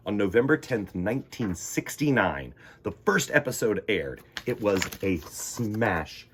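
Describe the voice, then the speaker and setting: Animated, husky man reading a storybook. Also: lowish voice, measured pace. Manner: theatrical, dropping into hushed emphasis. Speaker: children's storytime reader. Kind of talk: animated picture-book read-aloud in first person.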